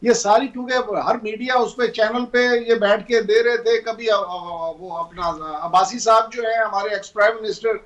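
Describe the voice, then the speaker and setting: A man with a Pakistani accent, a politician answering on a panel, halting and leaning on filler phrases. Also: high-pitched, fairly even intonation, fairly bright tone. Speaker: member of parliament, panel guest. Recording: dull, lo-fi sound.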